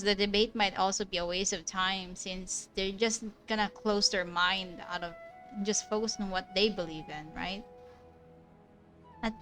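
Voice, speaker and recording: A woman's voice: mid-range voice, fairly bright tone, poor audio. Casual woman streamer over game music. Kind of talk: casual livestream reaction.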